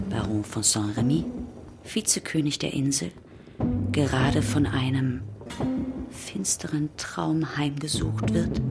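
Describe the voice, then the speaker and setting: Low, slow woman narrator over loud music. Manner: measured, deliberate, with repeated refrains. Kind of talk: scripted literary radio-play narration.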